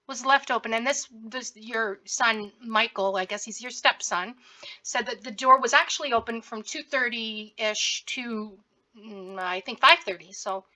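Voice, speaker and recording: Woman, sore scratchy throat, bright tense voice, confrontational commentary host. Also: on the high side. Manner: confrontational, addressing someone directly, repeats herself. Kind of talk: direct-to-camera commentary.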